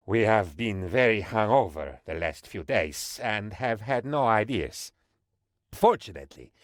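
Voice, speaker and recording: An actor playing an eager, bumbling, cheerfully shameless aide to a dictator in a video game, with a theatrical, mock-official delivery. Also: male voice, medium pitch, a bit bright, no echo, studio quality. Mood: sheepish, then pleased. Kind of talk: comic video game voice acting, reporting to his leader.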